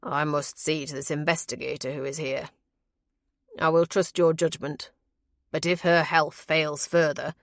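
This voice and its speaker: A woman voicing a commanding, troubled male aristocrat in an audiobook, formal, stern and deliberate. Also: low voice, little pitch movement, no echo, studio quality. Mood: concerned. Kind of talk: audiobook character voice.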